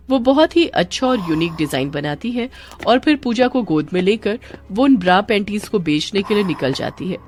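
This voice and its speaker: Expressive woman storyteller, lively pitch. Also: a little hiss or hum. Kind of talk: scripted story narration.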